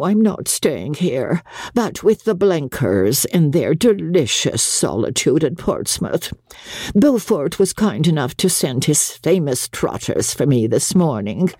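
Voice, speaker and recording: Woman playing an affected, gushing society aunt, swooping pitch. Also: warm tone, dry recording, studio quality, full character voice. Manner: effusive, grandiose, sighing. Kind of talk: dramatic audiobook character acting.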